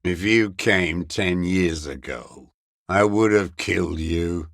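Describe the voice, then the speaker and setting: An actor playing a bitter, paranoid old communist deserter in a video game, with a weary, gravelly voice and a halting, brooding, contemptuous mutter. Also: male voice, deep voice, no echo, clean studio sound, heavily acted. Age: older. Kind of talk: video game character voice acting.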